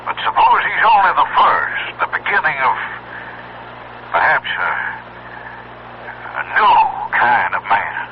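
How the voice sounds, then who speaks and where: An actor playing an uneasy, evasive sanitarium director in an old-time radio drama, grave and hesitant, with dramatic pauses. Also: male voice, medium pitch, huge pitch swings. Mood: grave, ominous. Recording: dull, hissy old radio recording.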